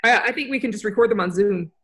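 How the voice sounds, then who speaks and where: A woman's voice: medium pitch, fast. A woman talks through course plans on a video call. She rambles and corrects herself as she goes, staying upbeat. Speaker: course producer. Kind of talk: casual video call meeting.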